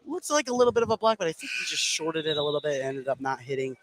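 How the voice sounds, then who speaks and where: A man giving live play-by-play radio commentary on a basketball game, getting excitable on big plays. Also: fairly high pitch, very fast, a little hiss or hum. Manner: excitable on big plays, calling action as it happens. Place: indoor basketball gym, courtside.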